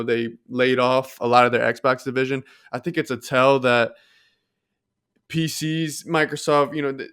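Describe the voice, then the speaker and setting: Smooth, even-toned podcast man. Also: mid-range voice. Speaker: podcast co-host. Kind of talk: casual chat.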